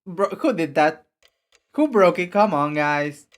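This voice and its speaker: A young man with a raspy voice streaming casually, rambling with many fillers and restarts. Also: fairly high pitch, lively intonation, slow. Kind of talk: casual live-stream chat and reading game text.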